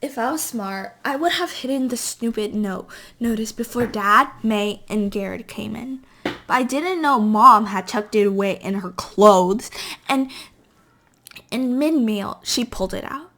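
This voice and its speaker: A woman reading a novel aloud as an amateur, halting at times as she stumbles over words and corrects herself. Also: on the high side, fairly bright tone. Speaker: amateur reader.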